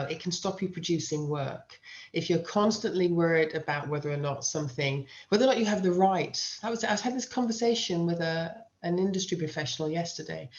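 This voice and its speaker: A woman's voice: lowish voice, darkish tone. British woman giving advice, dull lo-fi sound. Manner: emphatic, repeats key phrases for stress. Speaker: playwright and writing teacher. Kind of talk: interview answers, giving advice.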